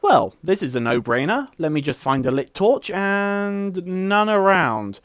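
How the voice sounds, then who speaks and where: A woman's voice: on the low side, sing-song, unhurried, no echo, studio quality. A woman voicing a brash, sarcastic, slightly clueless young male hero in a comedic video game parody dub. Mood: exasperated. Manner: sarcastic, blustering.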